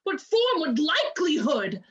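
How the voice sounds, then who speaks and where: A woman playing an outraged, sharp-tongued, loyal waiting-woman in a Shakespearean radio play, emphatic and scornful. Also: high voice, big pitch swings, measured pace, muffled recording. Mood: furious, scornful.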